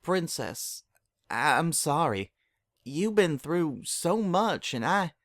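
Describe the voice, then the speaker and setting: Storybook role: tearful, stubborn farm mare, acted by a man, pitched up, close dry sound. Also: very animated, slow, fairly bright tone, dry recording, heavily acted. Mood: ashamed, sad. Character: distressed young country mare, stubborn and tearful.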